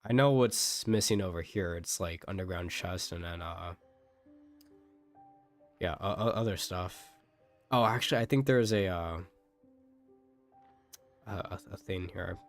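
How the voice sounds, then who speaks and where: Male game streamer, lowish voice, off-the-cuff and rambling, talking to himself over background music. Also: measured pace, long pauses. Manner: rambling, thinking aloud. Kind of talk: casual live gameplay commentary.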